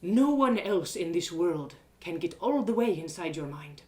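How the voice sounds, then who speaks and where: A woman voicing a gentle, wise male mentor in a fantasy story, measured and reassuring. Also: fairly low pitch, lively intonation.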